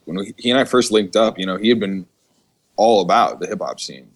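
Deep-voiced man chatting casually. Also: a little fast. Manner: slangy, casual swearing. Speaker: podcast guest, music producer. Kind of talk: casual chat.

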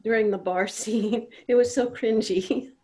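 A woman's voice: a little high, steady tone, a little slow, mellow. A woman chatting casually, giving her reactions to a play reading. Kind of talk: casual chat.

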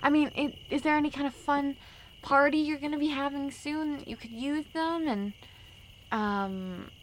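Actress as busy school board mother. Higher, very animated, hedging politeness over tiredness, improv radio drama. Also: a little hiss or hum. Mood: politely reluctant.

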